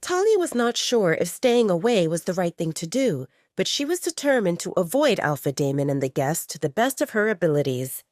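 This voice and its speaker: Expressive woman narrator, lower-pitched, dry sound. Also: moderate pace, few pauses, dry recording. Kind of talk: scripted audio drama narration.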